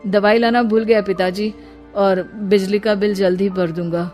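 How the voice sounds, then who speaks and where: A woman's voice: mid-range voice, steady tone, moderate pace, darkish tone, lightly acted. An anxious young male office worker from a cartoon , voiced by a woman. He is hesitant, apologetic and deferential, with faint music underneath. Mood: sheepish.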